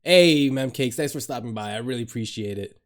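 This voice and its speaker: A man's voice: mid-range voice, a little fast. Male streamer, casual, loose and swears easily, laughs a lot while chatting with viewers on a home desk mic. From Dominican Republic. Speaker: live streamer.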